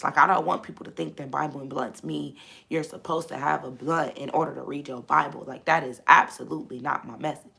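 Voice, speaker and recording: Hoarse woman with a gravelly low voice. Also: a bit bright. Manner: repetitive, emphatic, preachy. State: voice gone, hoarse. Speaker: host. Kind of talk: casual faith talk livestream.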